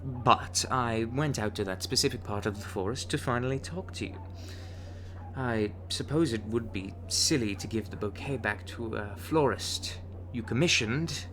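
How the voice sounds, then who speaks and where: A man's voice: mid-range voice, normal speed, slight background noise, close to an everyday voice. A man playing a charming, teasing, smitten young bard in an intimate ASMR romance roleplay, coaxing the listener. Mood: sheepish, warm. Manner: teasing, coaxing, with soft chuckles and hesitant asides.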